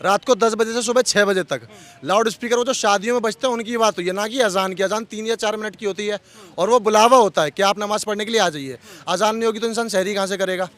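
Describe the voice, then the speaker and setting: A man's voice: sits high, quick pace, fairly bright tone. A man being interviewed outdoors in a heated street debate, arguing with repeated phrases and rhetorical questions.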